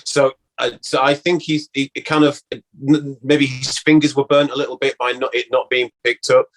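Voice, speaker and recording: A man, an actor being interviewed, talking casually and reflectively, haltingly repeating words as he thinks aloud. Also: mid-range voice, little pitch movement, rough recording.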